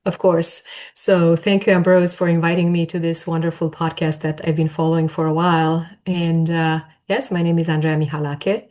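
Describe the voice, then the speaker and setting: A woman's voice: sits low, flat delivery, normal speed, a little mellow. A woman from Romania with a Romanian accent, an academic guest giving reflective, conversational interview answers and correcting herself as she goes. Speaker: podcast guest, architecture professor.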